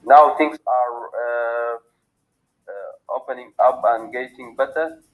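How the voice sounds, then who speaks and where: A man in his thirties, an Arabic speaker, answers academic interview questions in English. He talks haltingly, often restarting his sentences and filling gaps with fillers, in a voice of ordinary pitch. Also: soft background music, rough recording. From Sudan. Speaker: PhD student interviewee.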